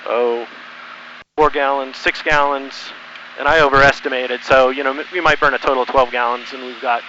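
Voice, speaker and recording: A middle-aged man with a very bright, edgy voice talks casually to himself as he flies. His phrases come haltingly as he works through each step. The audio is narrow and lo-fi, like a cockpit headset, with faint engine noise underneath. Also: medium pitch, steady tone. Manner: halting, thinking aloud to himself. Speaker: student pilot flying solo, narrating for video. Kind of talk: casual running commentary while flying. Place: cockpit of a small plane in flight. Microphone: aviation headset.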